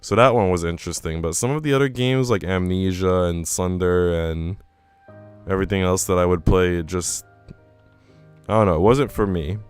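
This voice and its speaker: A man's voice: low voice, moderate pace, clear, resonant tone. A man live-streaming a visual novel, reading it aloud and chatting casually in slangy asides. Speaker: game streamer. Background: music.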